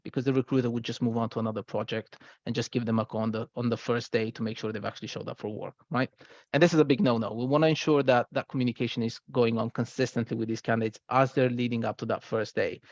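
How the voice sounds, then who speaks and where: Guy doing a software product walkthrough, talks quick, explainy and conversational, dry slightly muffled mic. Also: mid-range voice, creaky, no echo. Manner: explanatory, conversational with check-in tags. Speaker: software presenter. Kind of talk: product presentation.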